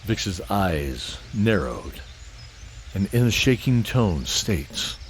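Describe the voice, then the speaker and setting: A man narrating a scripted fantasy audio drama in a deliberate, atmospheric storytelling style. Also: sits low, big pitch swings, slight background noise.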